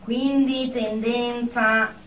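A woman playing a high, stern psychiatrist. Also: fairly even intonation, drawn-out words, slight background noise. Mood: clinical, probing. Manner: clinical, condescending, emphatic. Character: stern, condescending female psychiatrist, clinical and impatient. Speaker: therapist character. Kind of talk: radio drama acting. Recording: echoey room, distant from mic.

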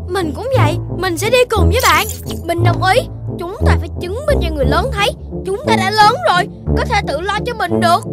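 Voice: extremely high pitch, animated, fairly quick, loud music behind the voice. A performer voicing an earnest, headstrong little child character in a children's cartoon, in an emphatic childlike manner. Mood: determined. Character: earnest, headstrong little puppy child named Đốm and friends.

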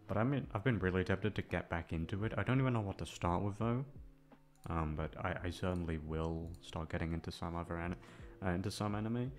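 Low-pitched man rambling casually over music. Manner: hesitant, self-deprecating, freely swearing. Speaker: ASMR voice actor and channel host. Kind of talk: casual rambling chat to listeners. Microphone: microphone, sitting a little back from it. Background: soft guitar music.

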